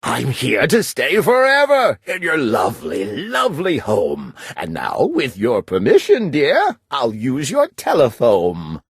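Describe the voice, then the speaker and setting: Narrator acting a male storybook creature, chatty, pushy, wheedling, hugely animated pitch, slow drawn-out delivery. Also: slightly rough, no echo, studio quality, full character voice. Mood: smug, pleased. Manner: gabbing, jabbering, wheedling. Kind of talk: rhyming children's storybook character voice.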